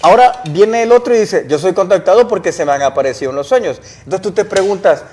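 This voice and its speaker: Young man, guest, earnest storyteller, raised pitch. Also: fast talker, a little mellow, slight background noise. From El Salvador. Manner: earnest, explains with rhetorical questions. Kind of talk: casual interview storytelling.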